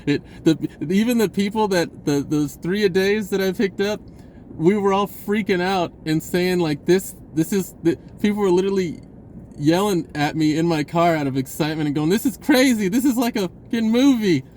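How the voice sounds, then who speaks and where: A man telling a personal story in a casual, rambling vlog style, stammering, blurting and re-enacting conversations excitably. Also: sits high, moderate pace, slight background noise. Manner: blurting, self-interrupting, stammering over phrases.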